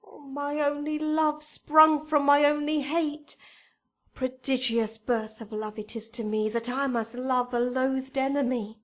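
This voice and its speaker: An actress playing a young lovestruck noblewoman in a Shakespearean radio drama, speaking measured verse. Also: high-pitched, fairly even intonation. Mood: anxious. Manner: slow, measured verse delivery.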